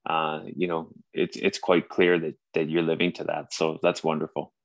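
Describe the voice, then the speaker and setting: A Canadian man in his forties with a very low voice, chatting over a remote call. He talks earnestly, stopping and restarting his phrases often. The sound is dry and close. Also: level tone, no room sound. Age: 40s. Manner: earnest, halting with frequent restarts. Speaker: podcast host. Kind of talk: casual conversation.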